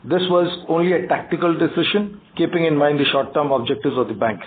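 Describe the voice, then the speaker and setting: A man with an Indian accent reads a prepared financial briefing as a bank executive, haltingly, stumbling over and repeating words. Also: a little high. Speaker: bank executive on an earnings call. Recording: tinny phone conference line, faint noise.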